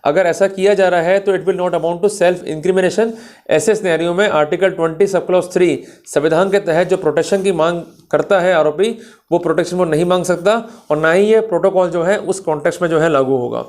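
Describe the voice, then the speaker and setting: A man's voice: high voice, fairly quick, darkish tone. A man with an Indian accent giving an explanatory law lecture in an emphatic, didactic manner, mixing in English terms.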